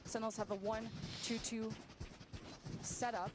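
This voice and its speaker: A woman casting a professional esports match with brisk play-by-play that rises on big plays. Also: on the high side, little pitch movement. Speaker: esports caster. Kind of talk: play-by-play commentary.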